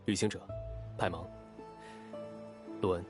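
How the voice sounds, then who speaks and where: A man's voice: low-pitched, fairly even intonation, a little slow. An actor playing a cool, reserved young nobleman in a video game, speaking formally and tersely. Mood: cool, serious. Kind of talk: Chinese video game voice acting. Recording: music behind the voice.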